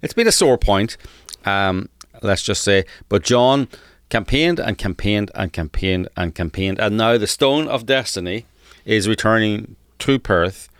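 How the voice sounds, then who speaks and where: A man's voice: on the low side, animated, measured pace, a bit bright, clear, resonant tone, no echo, clean studio sound. A man co-hosting a podcast, telling stories casually and explaining as he goes, repeating words for emphasis. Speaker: podcast co-host. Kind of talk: casual conversational storytelling.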